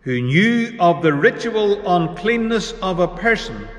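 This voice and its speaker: A man preaching a sermon as pastor, measured and unhurried with pauses between phrases. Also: sits high, very animated. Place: church. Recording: echoey church hall reverb.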